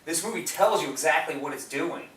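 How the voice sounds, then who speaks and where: Bright-voiced enthusiastic man, roomy distant recording. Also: on the high side, fairly quick. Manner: enthusiastic, gushing. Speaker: podcast co-host. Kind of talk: casual film discussion. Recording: roomy, distant mic, background noise.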